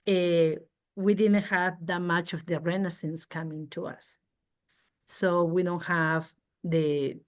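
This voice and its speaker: A middle-aged woman from the Canary Islands with a Spanish accent, a native Spanish speaker, explaining and demonstrating informally in expressive non-native English. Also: lowish voice. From Tenerife, Canary Islands, Spain. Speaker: guest craft and culture presenter.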